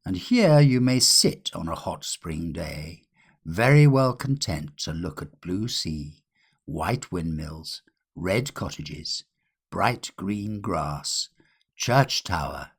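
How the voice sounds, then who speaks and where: A man reading a literary ghost story in a measured, expressive way with dramatic pauses. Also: low voice, creaky, slightly rough. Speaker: audiobook reader.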